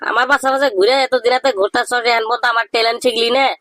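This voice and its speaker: A man voicing a cheeky, boastful young village know-it-all in a comedy cartoon, with a rural Bangladeshi accent and a pitched-up voice. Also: fairly even intonation, very fast, sharp tone, no room sound, clean studio sound. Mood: boastful, teasing. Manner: bragging, teasing, declamatory.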